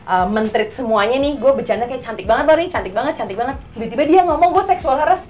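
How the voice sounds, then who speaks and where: A woman's voice: on the high side, fast. A young woman chats casually in a group discussion, slangy, acting out examples and checking that others follow. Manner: slangy, animated role-play examples, checks listeners follow. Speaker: talk show panelist. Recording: music playing behind the talk. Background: background music.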